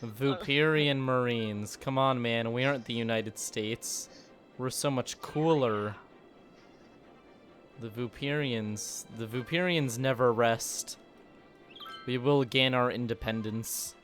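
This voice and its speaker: A man's voice: medium pitch, slow, a bit bright, faint music behind. A man streaming a city-building game, chatting casually and joking with sarcasm. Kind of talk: casual gameplay commentary.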